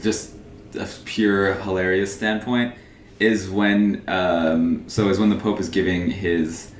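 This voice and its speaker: A young man co-hosting a podcast in casual chat, animated and laughing often as he retells scenes. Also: sits low, slow pace, a little hiss or hum. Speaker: podcast co-host. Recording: echoey room with faint ambience.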